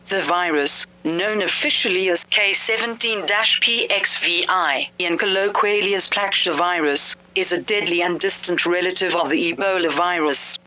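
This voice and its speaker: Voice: mid-range voice, sharp tone, poor audio. An announcer reading a scripted government emergency message in a formal, official manner.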